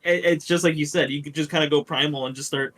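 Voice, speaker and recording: Higher-voiced man in crude casual chat. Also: steady tone, quick pace, a bit bright. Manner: crude, profane, joking.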